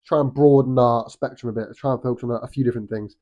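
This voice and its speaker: A man's voice: medium pitch, fairly even intonation, very fast, mellow, lo-fi sound. British man with a nasal cold. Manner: rambling, frequent restarts and ums. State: has a cold, slightly nasal. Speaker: boxing vlogger. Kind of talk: casual opinion monologue.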